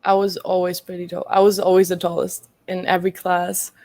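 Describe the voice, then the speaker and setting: A woman's voice: lowish voice, completely flat delivery, a little fast, darkish tone, no echo. Hesitant young woman from Greece. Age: young adult. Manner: hesitant, many ums, laughs often. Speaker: college volleyball player. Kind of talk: casual interview answers.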